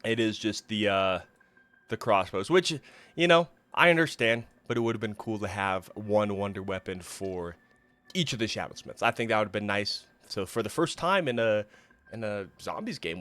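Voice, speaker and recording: A man talking casually through game menus as he thinks aloud, still recovering from an illness and sounding a little rough. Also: lowish voice, expressive, normal speed, a bit bright. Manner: chatty, thinking aloud while browsing menus. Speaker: gaming YouTuber. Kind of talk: casual gameplay commentary.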